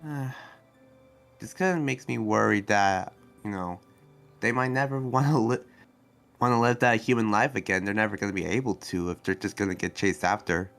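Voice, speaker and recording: Man playing a gentle, hesitant young demon slayer. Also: lowish voice, creaky, faint music behind. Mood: concerned, pleading. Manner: hesitant, earnest, halting with restarts. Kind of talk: improvised roleplay dialogue.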